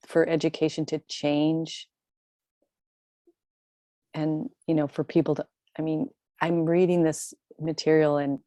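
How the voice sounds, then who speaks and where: A woman's voice: low voice, mellow, no room sound. A woman in an adult faith class, asking a long question in a rambling way and searching for her words. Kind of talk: group discussion, asking a question.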